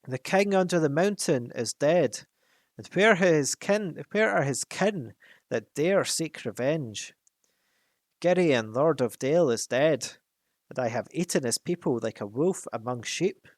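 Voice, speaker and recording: A man voicing a huge, boastful, wicked old male dragon in a storybook reading, with a slow, gloating, menacing delivery. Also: on the high side, expressive, dry recording, clean studio sound, voice pushed up.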